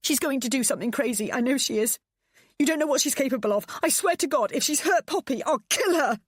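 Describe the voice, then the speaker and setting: A woman voicing a frantic young mother who shouts in panic and fury. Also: medium pitch, sing-song, a little fast, breathy edge, no echo, studio quality, voice pushed up.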